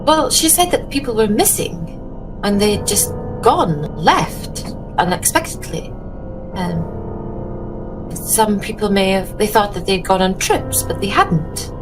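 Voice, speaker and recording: A woman playing a genteel, anxious, soft-hearted lady in a tabletop horror game, with a polite, hesitant, fretful way of talking. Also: mid-range voice, animated, slow, over music, rough recording. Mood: uneasy.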